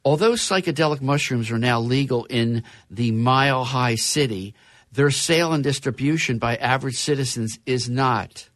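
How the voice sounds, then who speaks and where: A chatty man hosting a relaxed conversation, his voice sitting at an ordinary middle pitch. The sound is close and dry, with no room around it. He reads out news items and adds wry comments. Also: normal speed, no echo. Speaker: podcast host. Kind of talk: casual chat.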